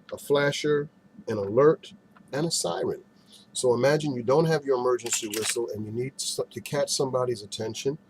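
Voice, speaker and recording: A man with a mid-pitched voice presents practical advice as a show host. He sounds folksy and instructive, stressing key points and posing questions to the viewer. The amateur recording carries some background noise. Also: darkish tone, poor audio. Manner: folksy, instructive, emphatic phrasing with rhetorical questions. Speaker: financial advisor hosting an advice segment. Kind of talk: direct-to-camera advice and product show-and-tell.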